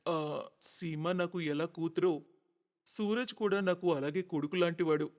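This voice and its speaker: Man voicing humble middle-aged father, polite, pleading, reverberant, raised pitch, cartoon drama. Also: steady tone, a little slow, lightly acted. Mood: humble, inviting. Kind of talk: cartoon moral story acting.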